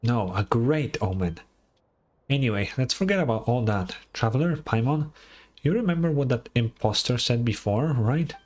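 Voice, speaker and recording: Man acting out a cocky game inventor, grandiose, breezy, overconfident, mid-pitched, music underneath. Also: expressive, normal speed, a little mellow, lightly acted. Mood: breezy, self-important. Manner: grandiose, breezy, self-assured. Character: boastful, overconfident schemer, self-styled moon-goddess devotee and inventor.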